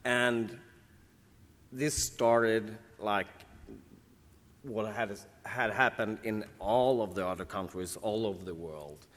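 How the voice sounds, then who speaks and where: A man from Sweden with a Swedish accent, talking casually on a convention panel, halting and restarting as he searches for words. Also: fairly low pitch, fairly bright tone. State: jet-lagged. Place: large convention hall. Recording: reverberant hall.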